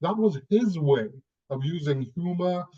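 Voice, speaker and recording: Man, panellist, slightly high pitch, reflective, hesitant with repeated phrases, mildly dull call audio. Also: lively intonation, measured pace, mellow. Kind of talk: reflective personal anecdote in a panel discussion. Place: online video call.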